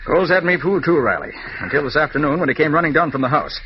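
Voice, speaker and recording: Animated, shrewd radio detective, played by a man, muffled recording. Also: on the high side, racing, slight background noise, natural voice. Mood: assured, explaining. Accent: American. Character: confident, shrewd male master detective. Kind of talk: 1940s radio acting.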